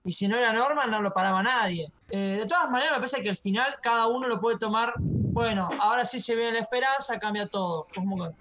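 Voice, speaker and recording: A man's voice: very high voice, fast talker, background noise. A man with a Rioplatense Spanish accent chatting casually as a podcast panelist, explaining things in a tentative, circling way. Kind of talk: casual comic-book discussion.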